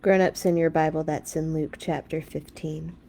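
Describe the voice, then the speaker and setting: A woman's voice: low-pitched, fairly even intonation, moderate pace, warm tone, a little hiss or hum. Woman reading storybooks expressively. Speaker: host of children's read-along.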